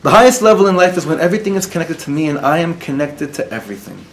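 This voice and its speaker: A man's voice: on the high side, big pitch swings, racing. A man, a rabbi, teaching a live class to an audience in the room, mixing Hebrew and Yiddish words into his talk and putting questions to his listeners. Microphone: recorded for web students.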